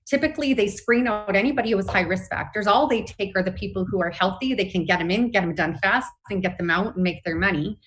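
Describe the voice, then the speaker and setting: A woman's voice: mid-range voice, fast talker, few pauses, a bit bright, rough recording. A woman with a Canadian accent speaking as a union representative in an interview, explaining her position earnestly and emphatically. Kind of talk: spoken interview, advocacy.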